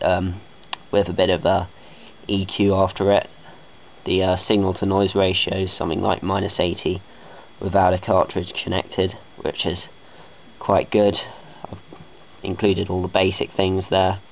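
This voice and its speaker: A man's voice: sits low, monotone, moderate pace. A man giving a casual, unscripted technical demonstration, speaking hesitantly and matter-of-factly into a handheld camera indoors. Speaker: hobbyist electronics builder. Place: carpeted room indoors. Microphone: handheld camera microphone. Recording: dull lo-fi camera audio with faint noise.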